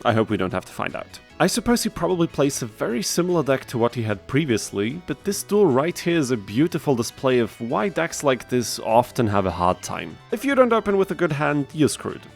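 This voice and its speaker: Male video host, medium pitch, scripted gaming narration over instrumental guitar backing. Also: very animated, brisk, gravelly, hardly any pauses. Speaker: YouTube game challenge narrator and host. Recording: music behind the voice. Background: guitar instrumental music.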